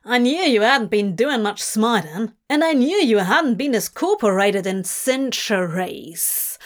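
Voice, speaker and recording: A woman's voice: fairly high pitch, lively intonation, takes it slowly. A furious male archangel from a dramatic story, acted out by a woman reader. He growls and snarls with menace. His contempt is mocking and hateful. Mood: menacing. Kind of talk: dramatic audiobook-style fiction reading.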